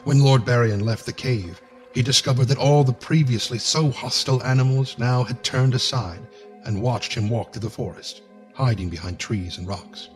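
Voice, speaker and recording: A man's voice: mid-range voice, normal speed, faint music behind, low-quality recording. A man narrating a medieval fantasy game story from a script, in a storytelling style.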